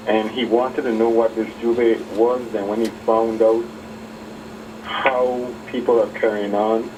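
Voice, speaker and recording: A man phoning in to a talk show, speaking in a hesitant, halting way. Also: medium pitch. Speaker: phone-in caller. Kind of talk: casual, halting call-in remarks. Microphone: telephone. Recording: muffled, noisy, distant phone call.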